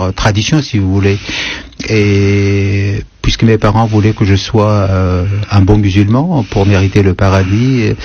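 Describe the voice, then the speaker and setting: A man in his sixties, born in northeast Syria with Kurdish as his first language, speaks in a low voice and pauses often as he gathers his thoughts. His answers come through a dull radio broadcast with a faint hiss behind them. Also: darkish tone. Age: 60s. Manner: thoughtful, hesitant with many pauses. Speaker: guest writer. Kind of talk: radio interview.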